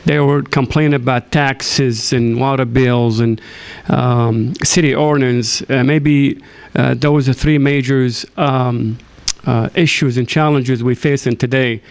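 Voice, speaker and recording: A man giving an unscripted answer as a council candidate, halting and hesitant with many filled pauses. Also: mid-range voice, fairly even intonation, clear, resonant tone.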